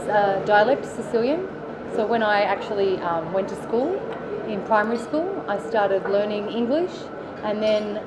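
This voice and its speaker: An Australian woman talks casually through interview answers, circling back with fillers and restarts. Her voice sits at an ordinary middle pitch. A loud steady noise runs under the whole recording. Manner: chatty, many fillers and restarts. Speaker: actress interviewee.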